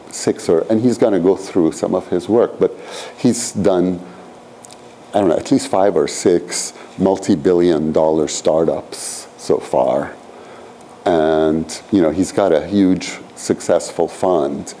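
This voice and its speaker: A very deep, mellow-voiced man in his sixties, originally from Iran, lecturing a graduate seminar in a lecture room. He rambles casually, leaning on "you know" and "frankly" as he goes. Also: fairly even intonation, measured pace, a little hiss or hum, poor audio. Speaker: professor leading a seminar.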